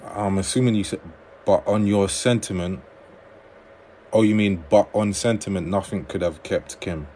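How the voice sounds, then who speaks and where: A man hosting a livestream on dating advice, reading viewer comments aloud and answering them in a relaxed conversational way. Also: lowish voice, normal speed, a little hiss or hum.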